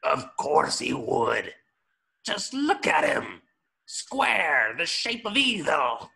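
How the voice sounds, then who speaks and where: A man's voice: fairly high pitch, big pitch swings, slow, gravelly, sharp tone, full character voice. A man voicing a scheming, bombastic tiny cartoon villain who goads and bosses in a grandiose way. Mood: scheming, goading. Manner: grandiose, goading. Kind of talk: cartoon script voice acting.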